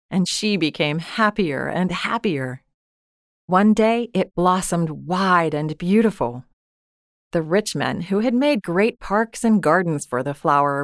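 Calm woman reading a storybook. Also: fairly low pitch, no room sound, studio quality. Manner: calm, measured storytelling with soft quoted asides. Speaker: storybook reader. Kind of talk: gentle scripted story narration.

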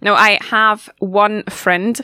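A woman's voice: mid-range voice, flat delivery, takes it slowly, bright, forceful tone, smooth, no echo. Young woman from Germany hosting student radio, chatty and laughing, dry close studio mic. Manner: chatty, laughs often, restarts sentences. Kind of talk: casual radio chat.

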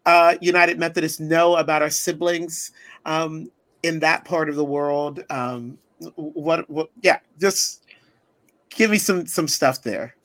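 Higher-pitched American man, casual interviewer. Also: steady tone, slow pace, a bit bright. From United States. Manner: hesitant, rambling questions. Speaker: podcast host.

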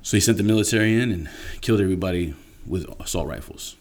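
A man's voice: sits low, quick pace, darkish tone, slight background noise. A man explaining things in a casual, earnest, informal way, often checking in with the listener. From Haitian and Dominican background. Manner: earnest, informal, checks in with "right?" and "you know". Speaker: interview guest.

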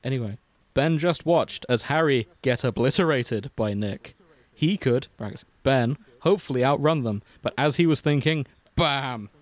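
A young man co-hosting a podcast, reading fan fiction aloud with deadpan, mocking asides. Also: mid-range voice, normal speed, few pauses, no echo. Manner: wry, deadpan, dips into mocking quotes. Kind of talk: casual chat and comic dramatic reading.

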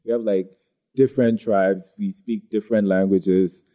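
A man's voice: on the low side, steady tone, moderate pace, dark tone. A man from Ghana in a casual discussion, talking earnestly and restarting often as he searches for words.

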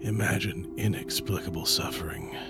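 A man delivering scripted horror trailer narration in a hushed, ominous, breathy manner. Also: low voice, steady tone, moderate pace. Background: loud music.

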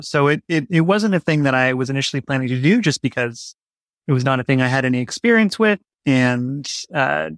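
Male podcast guest, creaky voice, dry close sound, casual, thinking aloud. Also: mid-range voice, a bit bright, dry recording. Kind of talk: casual interview conversation.

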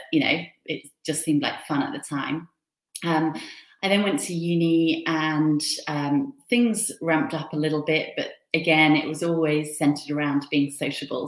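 A woman from Switzerland speaks as an interview guest, telling her recovery story. She sounds open and reflective, and her voice sits low. The room around her is echoey. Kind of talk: candid personal recovery story. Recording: roomy echo.

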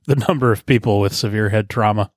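A man's voice: on the low side, no echo, studio quality. Joking laid-back male co-host. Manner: joking, quick asides, trailing off. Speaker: podcast co-host. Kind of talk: casual banter.

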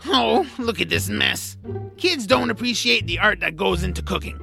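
A woman voicing a cranky, eccentric male troll cook in a family audio drama, with a gravelly voice and an excitable, grumbling, theatrical manner. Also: medium pitch, normal speed, soft background music, heavily acted. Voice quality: gravelly, creaky.

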